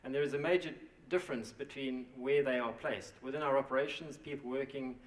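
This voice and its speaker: Man presenting at a conference, halting with lots of fillers, echoey hall, mic a bit far off. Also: mid-range voice, fairly even intonation. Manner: halting, many fillers and restarts. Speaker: company presenter.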